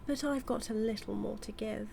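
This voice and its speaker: A British-accented woman playing a smug, manipulative evil magician in a scripted audio roleplay, teasing and taunting with deliberate, drawn-out phrasing. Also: a little high, creaky, mellow, slight background noise. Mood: smug, explaining.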